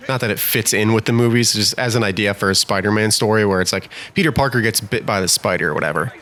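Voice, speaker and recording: A man's voice: fairly low pitch, fast talker, fairly bright tone. A man with a light New Jersey accent, chatting loosely over a video game playthrough and leaning on lots of fillers. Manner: rambling, heavy on fillers. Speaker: let's play host. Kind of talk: casual gameplay chat.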